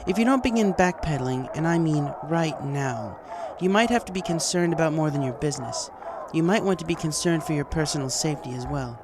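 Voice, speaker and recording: A man voicing a calm but firm pony princess in a storybook, with a measured, formal, authoritative manner. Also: a little high, background noise, pitched above the performer's own voice. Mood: calm, stern.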